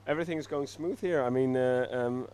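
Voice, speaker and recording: Male interview guest. Smooth, clean mid-range voice, relaxed and hesitant, builds sentences in small pieces. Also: mid-range voice, a little fast. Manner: hesitant, piecemeal phrasing, easygoing. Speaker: studio guest. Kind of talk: casual live interview chat.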